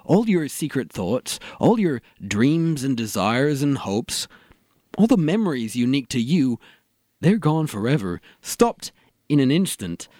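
A dry, close-sounding actor as a gloomy philosopher in a comedy audio drama. He muses in a deliberate, morbid way with a pitch that moves around a lot, and he can be bluntly tactless. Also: big pitch swings, dark tone, dry recording. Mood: morbid, musing.

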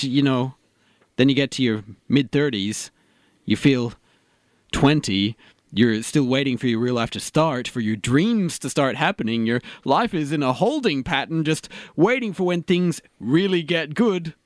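A man's voice: fairly high pitch, no echo, studio quality. A man acting as game master in comic audio drama, delivering wry, cynical monologue and banter.

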